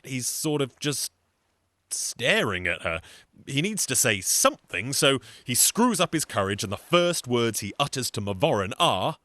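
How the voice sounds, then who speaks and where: A man's voice: mid-range voice, big pitch swings, measured pace, sharp tone, no echo. A man with a British accent telling a scripted comic story in a wry, chatty way, full of asides. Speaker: storyteller and singer.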